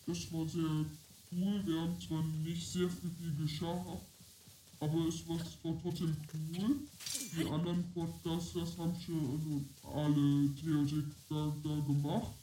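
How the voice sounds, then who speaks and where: Mellow, echoey woman through a voice changer. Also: deep voice, little pitch movement, measured pace, slight background noise. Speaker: gaming streamer. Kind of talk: casual gameplay commentary. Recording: echoey room sound, faint music behind.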